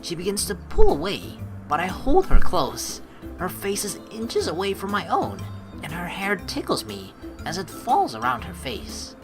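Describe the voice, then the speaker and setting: A woman's voice: fairly low pitch, wildly swooping pitch, a little slow, music in the background. A young woman doing a playful let's play, switching between casual commentary and theatrical narration read aloud.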